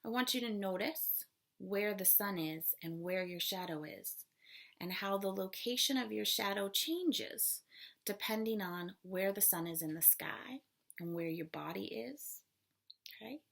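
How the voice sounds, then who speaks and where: A woman's voice: mid-range voice, measured pace, gravelly, fairly bright tone. A woman elementary school teacher reading aloud and then patiently explaining a lesson to children step by step. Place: small room at home, darkened.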